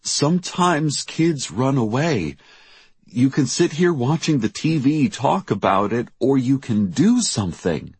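A man's voice: medium pitch, sing-song, drawn-out words, hardly any pauses, breathy edge, no room sound, voice pushed up, exaggerated voice. A man voicing a tired, injured woman with a terse, practical, blunt manner in an audiobook story. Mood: weary, blunt. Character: injured, exhausted, blunt young woman in hospital bed.

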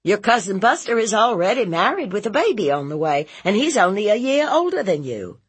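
A woman's voice: mid-range voice, big pitch swings, fairly quick, breathy edge, voice pushed up. A woman voicing a fierce, blunt, protective aunt in a story, scolding in an emphatic, folksy, interrogating way. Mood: exasperated, concerned.

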